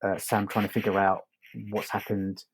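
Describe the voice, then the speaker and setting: A man co-hosting a podcast, reviewing in a casual, halting conversational way. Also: fairly low pitch, completely flat delivery, slight background noise, poor audio. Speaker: podcast co-host reviewing audio dramas.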